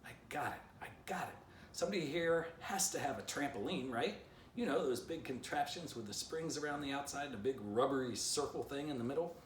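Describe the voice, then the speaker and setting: A man voicing an excitable, scheming storybook dog who pleads and grumbles in exasperation, obsessed with catching a squirrel. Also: medium pitch, fairly quick, voice pushed up, natural voice. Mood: eager, pleading. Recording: roomy, distant mic, faint noise.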